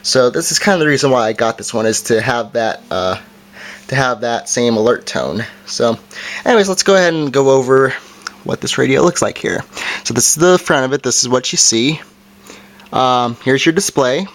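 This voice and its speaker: A man's voice: medium pitch, animated, moderate pace, fairly bright tone. A man giving an informal product review, explaining things plainly step by step as an amateur video reviewer. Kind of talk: casual product review and demonstration.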